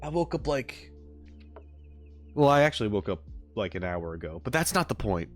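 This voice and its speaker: A man's voice: on the low side, big pitch swings, normal speed. A man chatting casually to his livestream viewers as host, in an informal, rambling, self-deprecating joking way. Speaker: livestream host, game reaction streamer. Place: home streaming setup with green screen. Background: music.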